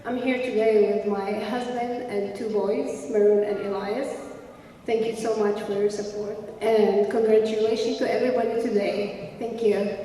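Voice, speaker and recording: A woman's voice: mid-range voice, steady tone, normal speed, background noise. A woman from Jerusalem giving careful, slightly formal thanks as a new citizen. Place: large courtroom or hall. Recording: echoey hall, heard from far off.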